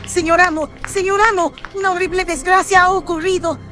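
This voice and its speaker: A man voicing a distraught female servant in a horror radio drama, agitated and pleading, through pitch-shifted processing. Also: fairly even intonation, fairly quick, bright, forceful tone, soft background music. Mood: distraught, fearful. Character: distraught female servant bearing terrible news.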